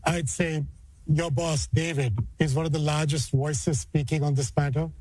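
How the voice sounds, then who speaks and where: A man's voice: on the high side, steady tone, moderate pace, darkish tone. A man speaking casually as a senior panelist at a town hall.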